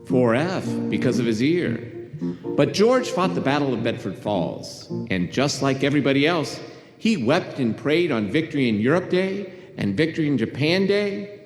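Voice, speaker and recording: A man's voice: mid-range voice, big pitch swings, normal speed. A man acting as the storytelling narrator of a radio play, delivering scripted dramatic narration. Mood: stirring. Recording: echoey hall, loud guitar behind. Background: plucked guitar music.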